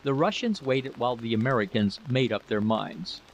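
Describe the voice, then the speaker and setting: A man's voice: mid-range voice, normal speed. Male narrator for prose fiction, mid-range voice, faint rain-like hiss underneath. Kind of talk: scripted story narration.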